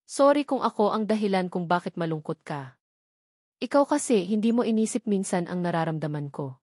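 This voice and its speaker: A woman's voice: medium pitch, lively intonation, fairly quick, darkish tone, no room sound, studio quality, natural voice. A woman with a Filipino accent voicing a hurt, loving and apologetic husband in a romance audiobook, earnest and pleading. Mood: hurt, reproachful.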